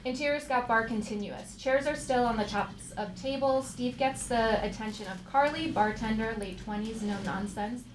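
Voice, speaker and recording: A woman with a fairly high voice reads stage directions for a live comedy table read. The room is echoey, with clear background noise. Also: normal speed, hardly any pauses. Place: live theater.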